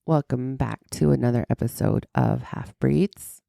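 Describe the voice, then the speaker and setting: A middle-aged woman hosting a casual, joking podcast chat, her voice deepened and congested by a sinus infection, with vocal fry. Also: very animated, a little slow, mellow. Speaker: podcast host.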